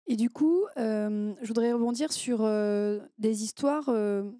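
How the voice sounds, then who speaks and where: A woman's voice: on the high side, slow pace, dark tone, dry recording, clean studio sound. A woman moderating a panel, asking a question with hesitant fillers. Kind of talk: panel discussion.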